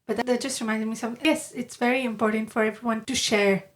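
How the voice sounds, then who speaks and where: A woman's voice: a little high, fairly even intonation, fast. A young woman who grew up in the Middle East, hosting an interview in an attentive, encouraging way with frequent affirmations. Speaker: podcast host. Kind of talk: conversational interview.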